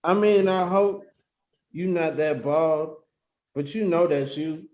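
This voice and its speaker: Upbeat joker pal, read by a male actor, highish lively voice, echoey room sound. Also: takes it slowly. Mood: teasing. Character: joking, upbeat best friend on a wedding weekend. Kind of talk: screenplay table read. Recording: roomy, echoey home recording.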